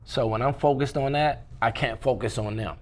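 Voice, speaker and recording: A man speaks as a guest in a casual interview. His voice sits at a medium pitch and his phrasing is informal, circling back to repeat points. A faint ambient noise runs behind him. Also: normal speed. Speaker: interview guest, stage play producer and entrepreneur.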